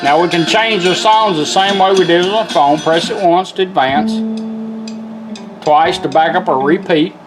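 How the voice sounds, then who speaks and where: A man's voice: high-pitched, moderate pace, over music, poor audio. A man giving an informal hands-on product tutorial in a folksy, step-by-step way. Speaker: product demonstrator.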